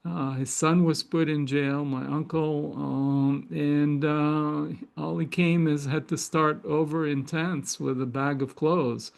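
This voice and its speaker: A man with a Hebrew accent speaks slowly and reflectively, pausing often to find his words as he hosts a spiritual gathering. His voice sits a little high for a man and carries a gravelly, creaky edge. He takes his time with every phrase. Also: fairly even intonation. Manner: thoughtful, with frequent hesitations and fillers.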